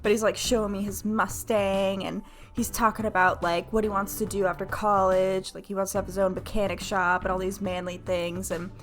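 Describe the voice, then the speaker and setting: A woman's voice: medium pitch, moderate pace, hardly any pauses, soft background music. A woman from Florida with an American accent, telling a candid personal story to her live stream chat in a slangy, profane, self-mocking way. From United States, Florida. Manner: animated, self-mocking, acts out quoted dialogue; profane, slangy, heavy use of "like".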